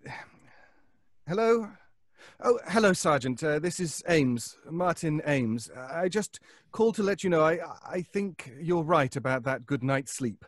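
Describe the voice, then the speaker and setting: A nervous, obsessive radio-suspense schemer, higher-pitched. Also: male voice, expressive, no room sound, studio quality. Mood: anxious, weary. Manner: stammering, halting, pleading. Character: nervous, obsessive, scheming middle-class man. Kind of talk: old-time radio suspense acting.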